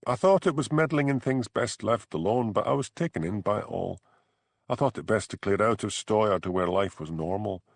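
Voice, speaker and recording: A man's voice: lowish voice, expressive, normal speed, gravelly, no room sound. A man playing an anxious Scottish village father. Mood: shamefaced. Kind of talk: audiobook character dialogue.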